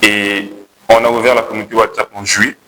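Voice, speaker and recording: A young man with a Congolese accent pitching his project to a jury, hesitating and correcting himself as he goes. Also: little pitch movement, fairly quick, bright, forceful tone, slight background noise, low-quality recording. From Republic of the Congo. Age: young adult. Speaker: contest candidate pitching a project.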